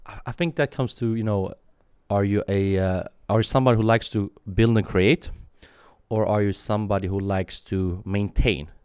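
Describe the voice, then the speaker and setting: A man in his thirties from Sweden, answering interview questions in a thoughtful, halting way with many fillers and restarts. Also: fairly low pitch, fairly even intonation, darkish tone, smooth, no echo, clean studio sound. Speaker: guest.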